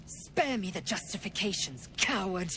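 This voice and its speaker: A woman's voice: mid-range voice, very animated, moderate pace, fairly bright tone, slight background noise. An actress playing a fierce, proud alien warrior woman in a video game, speaking in a clipped, haughty, formal way. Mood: defiant, scornful.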